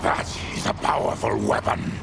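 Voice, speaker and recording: An actor playing a grave, honorable warrior in a video game, with a gravelly voice and measured, stern, formal speech. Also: male voice, very noisy, natural voice. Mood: grave, resolute. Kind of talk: cinematic video game acting.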